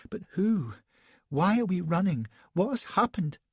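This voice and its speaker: A man's voice: sits high, big pitch swings, a little slow, husky, no echo, voice pushed up, exaggerated voice. A man voicing a naive, prim young wife who asks one fussy, breathless question after another. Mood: bewildered.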